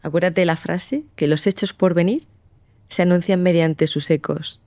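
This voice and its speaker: A woman from a close, dry-sounding recording speaks with an accent from Spain in a fairly low voice. She explains things conversationally and with conviction, pressing points through rhetorical questions. Her tone is on the mellow side. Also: steady tone, moderate pace, no echo. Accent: Spanish from Spain. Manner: assertive, uses rhetorical questions.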